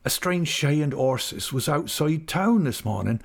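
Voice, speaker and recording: Man voicing an anguished young fisherman, raised pitch. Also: animated, a little slow, vocal fry, breathy edge, exaggerated voice. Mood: faltering, anguished. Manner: pleading, faltering. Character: young working-class fisherman, anguished, deferential, honest. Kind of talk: dramatised audiobook character.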